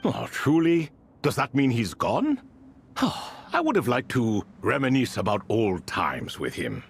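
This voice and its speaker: An eloquent, theatrical ancient cat spirit. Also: male voice, mid-range voice, wildly swooping pitch, slow pace, creaky, slight background noise, exaggerated voice. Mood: genteel, wistful. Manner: eloquent, old-fashioned, easily offended, chatty. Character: courtly ancient spirit speaking through a chubby cat. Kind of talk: English video game voice acting. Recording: background music behind the voice.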